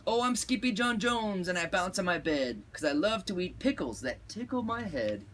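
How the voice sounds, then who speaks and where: A man's voice: sits high, animated, a little slow, a bit bright, breathy edge, voice pushed up, heavily acted. A man voicing a boisterous little kitten boy who plays a Chihuahua swordfighter, dramatic and singsong with a mock Spanish accent. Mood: singsong, boastful.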